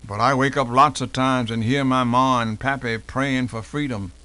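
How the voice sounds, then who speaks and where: A man's voice: mid-range voice, steady tone, normal speed, sharp tone, clean tone, natural voice. A man playing an elderly formerly enslaved American man recalling his childhood in a folksy, dialect-heavy dramatic reenactment. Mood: plain reminiscing. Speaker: professor doing a dramatic reading. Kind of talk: dramatic first-person oral history reenactment.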